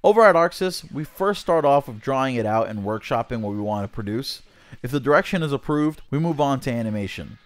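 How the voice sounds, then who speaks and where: A man's voice: medium pitch, lively intonation, fairly quick, dry recording. A man reading scripted video game news as a channel host, presenting a written interview.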